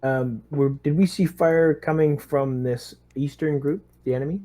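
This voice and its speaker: A man's voice: fairly high pitch, fairly even intonation, unhurried, warm tone. A man chatting casually as a player in a tabletop game.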